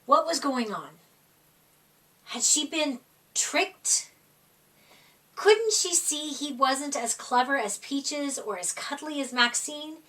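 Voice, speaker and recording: A woman with a high-pitched voice reads a children's story slowly and dramatically. She stretches key words for young listeners in an animated storytime delivery. The voice sits a little way from the mic. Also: far from the mic. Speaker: storyteller reading a children's picture book. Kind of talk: expressive children's storytime read-aloud.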